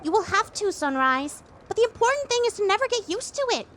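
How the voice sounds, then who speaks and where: A woman plays a fluffy pink pony living in someone's head. The voice is extremely high and lively in pitch. She is firm and insistent, an audio drama character giving earnest counsel. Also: a little fast, heavily acted.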